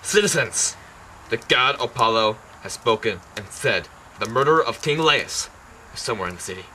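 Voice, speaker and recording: A man's voice: medium pitch, lively intonation, normal speed, sharp tone, slight background noise, low-quality recording. A man playing a proud, suspicious, commanding king in an amateur Greek tragedy, speaking in formal declamation.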